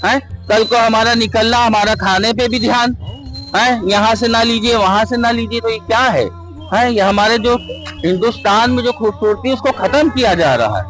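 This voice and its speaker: Young male vox pop, extremely high register, insistent and repetitive, light music behind. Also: moderate pace, a bit bright, poor audio. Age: young adult. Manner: insistent, repetitive, tag questions. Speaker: member of the public interviewed. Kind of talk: spontaneous street interview.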